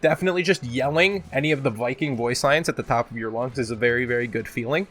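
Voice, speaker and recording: A man's voice: medium pitch, fast, a bit bright. Casual young-sounding man hosting a gaming countdown. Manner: profane, joking, exaggerating; belts out game voice lines. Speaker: gaming video host. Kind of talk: casual top-ten countdown commentary.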